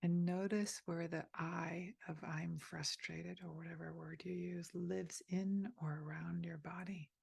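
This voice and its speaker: A woman leading a guided meditation, speaking softly and gently inviting the listener, with pauses between phrases. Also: lowish voice, fairly even intonation, vocal fry, husky, no room sound, clean studio sound. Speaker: meditation teacher.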